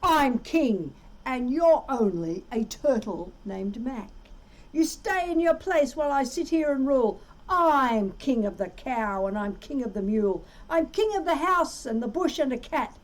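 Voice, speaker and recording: Storybook turtle king, played by a woman: pompous, bullying, commanding, high-pitched with big swoops. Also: animated, a little slow, rough recording. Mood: boastful. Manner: grandiose, commanding, bellowing. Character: pompous, power-hungry male turtle king, boastful and bullying. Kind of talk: children's storybook read-aloud.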